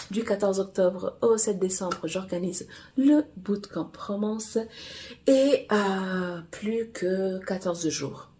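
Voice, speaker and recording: A woman romance author whose first language is Portuguese, chatting casually to camera in a halting way with many fillers and restarts. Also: mid-range voice, gravelly, dark tone, a little hiss or hum. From Angola. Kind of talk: casual vlog chat.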